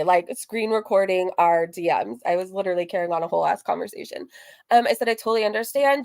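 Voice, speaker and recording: A woman's voice: medium pitch, a little mellow. A woman hosting a casual reaction livestream, chatty and gossipy, repeating phrases for emphasis as she comments and reads out messages. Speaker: online reaction channel host.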